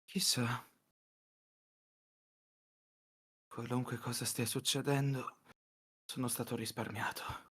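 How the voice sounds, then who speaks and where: A man's voice: medium pitch, steady tone, a little slow, fairly bright tone, slightly rough. A man playing a reserved, reluctant young aristocratic brother in a visual novel reading, with dry understatement. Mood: dry relief.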